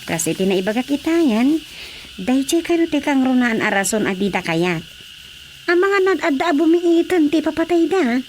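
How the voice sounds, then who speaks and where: An actress playing an earnest young village woman in a Filipino radio drama. She has a high voice and a Filipino accent, recorded with faint background noise. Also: very animated, moderate pace, darkish tone. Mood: explaining. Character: earnest village daughter explaining local worries.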